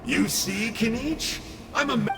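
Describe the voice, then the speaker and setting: A man's voice: very high voice, unhurried, noisy background. A man voicing a smug, theatrical villain scholar in a video game, flamboyant, wordy and mock-dramatic. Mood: mock-menacing. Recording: long reverb, music behind.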